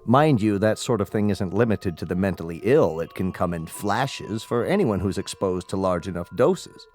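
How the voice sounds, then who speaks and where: An actor in a radio drama playing a gravely polite family doctor who speaks in a deliberate, professorial way. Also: male voice, low-pitched, expressive, a little mellow. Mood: grave, explanatory.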